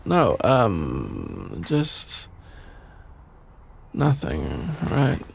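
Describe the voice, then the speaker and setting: A man playing a shy, sleepy young best friend in an ASMR roleplay, stammering, hesitant and evasive in a creaky, drowsy voice. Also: low voice, huge pitch swings, drawn-out words, exaggerated voice. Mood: embarrassed, evasive.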